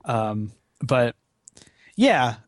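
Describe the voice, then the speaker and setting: A man chatting casually as a podcast guest, rambling and halting, with some swearing. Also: medium pitch, big pitch swings. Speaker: podcast guest, charity gaming marathon organizer. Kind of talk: casual chat.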